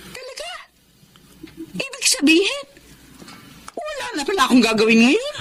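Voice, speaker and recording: A woman playing a stern, hot-tempered middle-aged mother-in-law in a Filipino radio drama, with a Filipino accent and an expressive delivery. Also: very high-pitched, moderate pace, exaggerated voice. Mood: surprised, softening.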